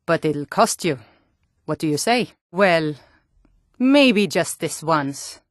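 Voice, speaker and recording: A woman's voice: sits low, lively intonation, slow, no room sound. Stern, menacing warrior woman, dry close recording. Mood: sly, conspiratorial. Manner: stern, threatening, taunting. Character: tough, menacing female Nord guard and bandit. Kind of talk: video game character voice lines.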